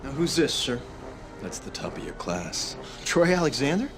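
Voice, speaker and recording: Mid-pitched military man, music behind. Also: very animated, normal speed, a bit bright. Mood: probing. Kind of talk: live-action game cutscene acting.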